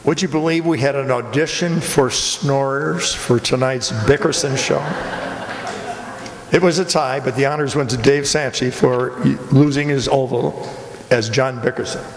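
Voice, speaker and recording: A man's voice: medium pitch, steady tone, measured pace. A man announcing a radio players' stage show, reading credits in a jokey scripted style. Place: auditorium stage, live before audience. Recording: echoey hall, loud noise.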